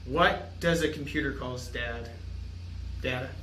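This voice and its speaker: A man's voice: medium pitch, unhurried. A man co-hosting a podcast in casual banter, defending himself and telling dad jokes. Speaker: podcast co-host. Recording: echoey room with faint noise.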